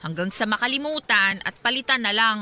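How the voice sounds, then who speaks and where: Bright-voiced young Filipina actress pining in secret. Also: female voice, on the high side, animated, a little fast. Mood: wistful. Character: single young woman secretly pining for her male friend. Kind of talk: Tagalog radio drama dialogue.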